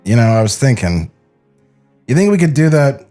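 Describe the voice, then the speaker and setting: A man's voice: medium pitch, sing-song, normal speed, warm tone, faint music behind, natural voice. A man playing an earnest, conversational animator in a comic script table read.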